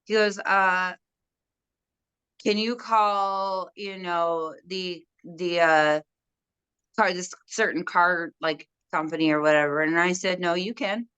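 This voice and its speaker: A young woman with a loud voice, casually telling a story about a stubborn resident at work and acting out both sides. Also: on the low side, slow pace, smooth, dry recording, low-quality recording. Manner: animated storytelling, quoting others. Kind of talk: casual conversation.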